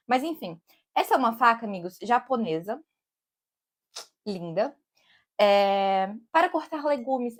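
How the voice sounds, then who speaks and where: A young Brazilian woman from Brasília with that city's accent. She cooks and vlogs, and talks in a rambling, casual way outdoors in summer. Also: fairly high pitch. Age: young adult. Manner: rambling and digressive, keeps saying "tipo" and "amigos". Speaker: cook and vlogger. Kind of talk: casual chatty vlog. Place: outdoors in summer, with bees around.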